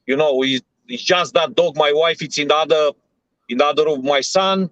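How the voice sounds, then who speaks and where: A man's voice: on the high side, moderate pace, fairly bright tone, no echo, muffled recording. A man from Romania with a Romanian accent, speaking English as his second language on a video call, telling his story hesitantly and searching for words. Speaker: guest. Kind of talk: halting personal story on a livestream.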